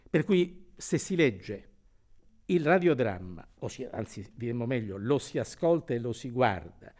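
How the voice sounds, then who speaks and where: A man gives scholarly commentary, phrasing deliberately and emphatically and correcting himself as he goes. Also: medium pitch. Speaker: university professor of performing arts. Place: dark theatre stage, indoors.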